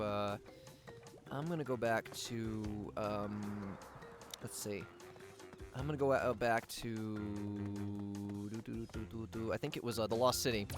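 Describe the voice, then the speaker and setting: Rambling man streaming a game. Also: medium pitch. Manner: rambling, lots of ums and restarts. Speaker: livestream gamer. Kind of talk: casual live gameplay chat.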